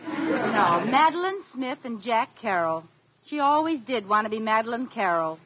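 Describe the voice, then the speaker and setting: Bubbly meddling young wife. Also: female voice, a little high, sing-song, normal speed, a bit bright, husky, dull audio, exaggerated voice. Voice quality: bubbly, giggly, coaxing. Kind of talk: 1940s radio comedy acting. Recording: noisy old radio broadcast.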